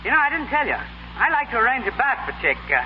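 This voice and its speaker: An actor playing an affable, well-spoken wealthy young gentleman, in 1930s radio comedy style. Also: very high voice, sing-song, fast talker. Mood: affable, eager. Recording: scratchy, muffled 1930s radio recording.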